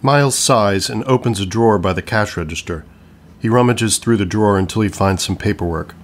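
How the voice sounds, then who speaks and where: A man's voice: lowish voice, brisk, slight background noise. A man reading scripted stage directions as the narrator of an audio play.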